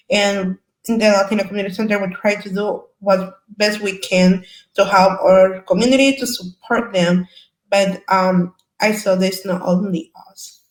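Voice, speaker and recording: Young woman, Salvadoran Spanish accent, halting English, echoey room. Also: mid-range voice, fairly even intonation. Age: young adult. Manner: halting, searching for words in English. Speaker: panelist. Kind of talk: personal story in a panel discussion.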